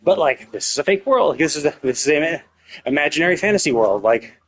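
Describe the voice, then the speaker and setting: A man's voice: a little high, lively intonation, a little fast. A man casually commentating on a game livestream, rambling and thinking aloud as he goes. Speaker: live streamer.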